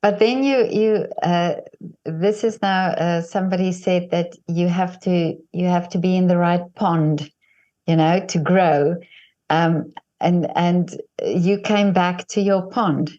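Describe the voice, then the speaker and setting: A woman, Afrikaans-born, interviews her guest in English. Her voice sits fairly low, and she keeps the talk going with steady affirmations and encouraging replies. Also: slow pace, muffled recording. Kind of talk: interview conversation.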